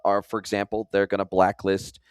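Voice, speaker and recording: Male podcast host, low and dry, frank and casual, drives points home by repeating himself. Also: little pitch movement, fairly quick, clean tone, dry recording. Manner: frank, casual, swears lightly, builds points by repetition. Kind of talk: conversational podcast interview.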